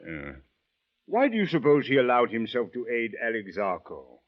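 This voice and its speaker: A man's voice: medium pitch, wildly swooping pitch, normal speed. A man playing a courteous, precise and stiffly formal police official in an old radio drama. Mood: measured, probing. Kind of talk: 1940s-50s radio drama acting. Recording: muffled old-time radio recording.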